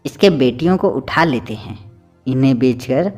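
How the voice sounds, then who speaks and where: A man's voice: medium pitch, lively intonation, normal speed, faint music behind, natural voice. A man voicing a scheming, callous henchman in a children's cartoon, sly and servile toward his master. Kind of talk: Hindi children's cartoon dubbing.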